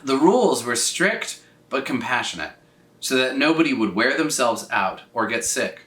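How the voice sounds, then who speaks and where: A man narrates a story for children with very lively, varied intonation. His voice is bright and clear-cut, and he stretches words for dramatic effect. The recording sounds echoey, as if he is standing back from the microphone in a room. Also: mid-range voice, moderate pace. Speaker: storyteller reading aloud for children. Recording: roomy echo, distant from mic.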